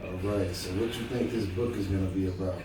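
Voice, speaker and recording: A man's voice: deep voice, fairly even intonation, a little fast, very noisy. A man reading a children's storybook aloud, chatting casually and encouragingly and putting questions to his listener. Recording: echoey room, distant mic, music behind. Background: music.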